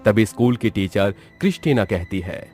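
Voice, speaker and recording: Low-voiced male horror storyteller. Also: lively intonation, normal speed, dark tone, soft background music. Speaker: narrator. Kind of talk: dramatic scripted horror story narration.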